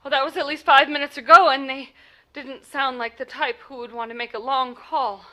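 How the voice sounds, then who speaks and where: A woman's voice: high voice, steady tone, normal speed, bright, forceful tone. An actress playing a frantic, imperious married woman in a 1940s style radio play, stammering and indignant. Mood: anxious, urgent. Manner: stammering, breathless, insistent, indignant.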